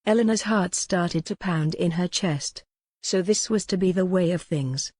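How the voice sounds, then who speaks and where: A woman narrates an audiobook in a fairly low voice, recorded dry and close. Her reading is scripted historical romance, told with expressive, dramatic storytelling. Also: measured pace, no room sound.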